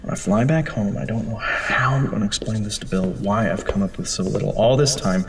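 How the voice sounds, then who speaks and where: An actor playing an anxious, sleepless city architect who stammers in an audio drama. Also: male voice, lowish voice, brisk, big-room sound, a little hiss or hum, natural voice. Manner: stammering, rattled.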